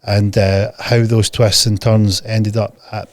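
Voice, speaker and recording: A man's voice: fairly low pitch, flat delivery, slow pace, darkish tone. A man hosting a podcast, introducing and questioning a guest in a conversational interview style. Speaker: podcast host.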